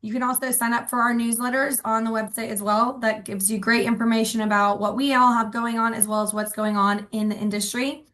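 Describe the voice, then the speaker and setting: A woman's voice: a little high, level tone, brisk, hardly any pauses, a bit bright. A woman giving housekeeping announcements before a webinar, friendly, with frequent ums. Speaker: association director introducing a webinar.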